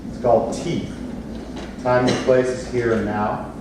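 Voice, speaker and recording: Male stage directions reader, medium pitch, plain narration, distant and very echoey room. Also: level tone, measured pace. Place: indoor theatre space, distant microphone. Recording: echoey, noisy room from far off.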